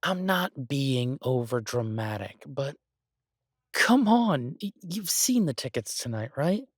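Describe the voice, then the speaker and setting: An exasperated restaurant chef played by a man grumbles to his partner in a boyfriend audio roleplay. His mid-pitched voice is halting and conversational, recorded dry with no room sound. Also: very animated, dry recording. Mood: exasperated, ranting.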